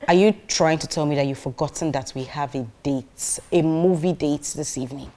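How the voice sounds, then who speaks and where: An actress playing an incredulous young sister in a conversational film scene. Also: female voice, low-pitched, normal speed, noisy background, lightly acted. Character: incredulous, nagging young woman confronting her sister.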